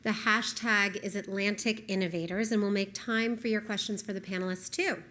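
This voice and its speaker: A woman's voice: medium pitch, fairly even intonation, normal speed, fairly bright tone. A woman hosting an event, giving scripted housekeeping notes and introductions from the stage. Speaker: event host introducing speakers.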